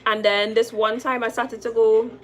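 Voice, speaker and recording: A woman's voice: fairly high pitch, moderate pace. A young adult woman with a Trinidadian accent, chatting casually on a livestream, reading viewer comments and repeating her phrases for emphasis. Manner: chatty and lively, repeating phrases, calling viewers girl and sis. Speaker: makeup artist hosting a livestream.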